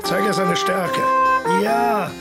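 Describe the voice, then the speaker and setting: A man acting a proud, irascible eighteenth-century composer in a German period radio drama, sardonic and commanding, with a gravelly, husky voice. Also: sits high, slow, over loud music. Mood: encouraging, elated.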